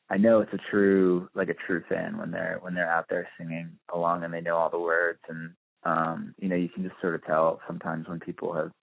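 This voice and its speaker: A man's voice: low-pitched, creaky, no room sound. A man giving a casual phone interview as a musician, speaking thoughtfully and hesitantly, often circling back to rephrase. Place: on a phone call. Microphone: telephone. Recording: muffled, dry phone-line audio.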